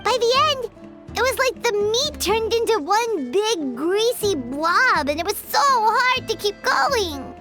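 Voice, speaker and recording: Tiny bubbly game sidekick creature: squeaky, childlike, very animated, sky-high pitch. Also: female voice, slow pace, soft background music, full character voice. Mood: curious. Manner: chirpy, expressive, refers to self by name. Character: tiny childlike floating companion, bubbly, food-loving, third-person talker. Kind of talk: English video game voice acting.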